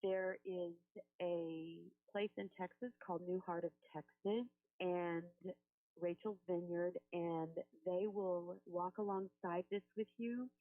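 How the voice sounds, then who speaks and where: A woman working as a helpline phone coach, talking a caller through in a soothing, reassuring way and praying with her. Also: on the low side. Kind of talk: counseling phone call and spoken prayer. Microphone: telephone. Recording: tinny phone-line recording.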